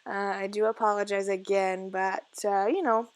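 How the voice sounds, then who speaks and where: A young woman from Canada with a husky, croaky voice, casually narrating her scrapbooking in a home basement, full of "uh" fillers and soft laughs. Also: medium pitch, steady tone, normal speed, no room sound. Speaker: scrapbooking vlogger. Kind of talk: casual voice-over narration of crafting. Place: home basement craft area.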